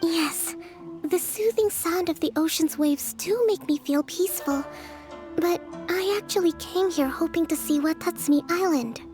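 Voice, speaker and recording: A woman voicing a gentle, thoughtful young leader in a video game, speaking politely and earnestly in measured phrases, with music behind her. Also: very high voice. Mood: serene. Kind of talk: video game dialogue acting.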